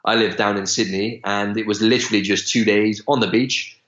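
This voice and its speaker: A man's voice: fairly low pitch, fairly even intonation, brisk, fairly bright tone. A young man from Wales, a podcast guest chatting casually, full of fillers and restarts. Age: young adult. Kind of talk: casual interview chat. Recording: echoey room, slightly dull sound.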